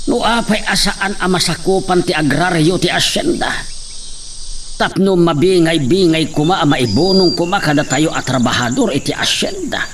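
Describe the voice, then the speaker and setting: A man's voice: a little high, normal speed, fairly bright tone, close to an everyday voice. An actor playing a stern, protective middle-aged farmhand father who warns his family, with some hiss behind him. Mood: bitter. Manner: stern, warning.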